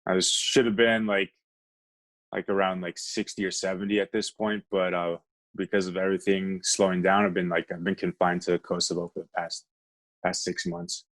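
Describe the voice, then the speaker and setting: A low, deep-voiced man with an American accent talks in a relaxed, conversational way as an interview guest. He tells his stories haltingly, padding them with "like" as he searches for the next detail. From United States. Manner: halting, peppered with "like". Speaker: podcast guest. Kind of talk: casual conversational storytelling.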